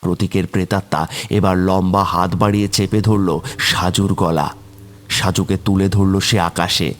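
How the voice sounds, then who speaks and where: Deep-voiced male horror story narrator. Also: level tone, normal speed, slight background noise. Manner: dramatic, suspenseful.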